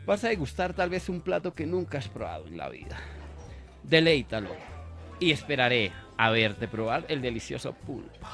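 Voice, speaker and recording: A man's voice: mid-range voice, big pitch swings, moderate pace, gravelly, fairly bright tone, faint music behind, voice pushed down. Man playing ceremonious, formal Colombian waiter. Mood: pompous presenting. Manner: polite, ceremonious. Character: formal, unhurried male waiter at fancy restaurant.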